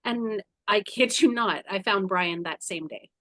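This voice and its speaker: A woman in her forties telling personal stories candidly in conversation, animated and blunt, often acting out her own inner dialogue. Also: mid-range voice, measured pace, dry recording. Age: 40s. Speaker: guest, spiritual guide.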